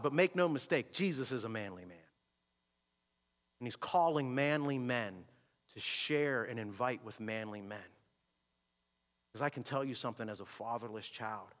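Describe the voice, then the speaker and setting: Male pastor, bright and forceful, emphatic sermon delivery in a church with a long reverb. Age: adult with a wife and kids. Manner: emphatic preaching, swings from shouting to low and quiet. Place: church sanctuary. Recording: long reverb of a large hall.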